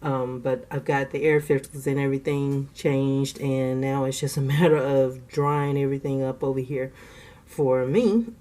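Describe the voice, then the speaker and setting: A woman's voice: very deep voice, steady tone, moderate pace, warm tone. A woman hosting a casual budgeting vlog from home, a bit congested from asthma, chatty and laughing often as she counts cash aloud. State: congested from asthma, clearing throat. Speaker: budgeting channel host.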